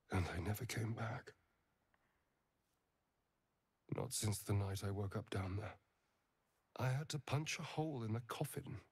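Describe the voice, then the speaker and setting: A man's voice: lowish voice, breathy edge, heavily acted. An actor playing a charming, wounded male vampire spawn in a video game, with a theatrical, drawling, wry delivery. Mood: haunted.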